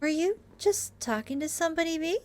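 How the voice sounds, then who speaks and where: A woman's voice: extremely high pitch, animated, fairly quick, a little mellow, a little hiss or hum, voice pushed up. A woman voicing a friendly, worried young woman in a horror game, in a conversational way.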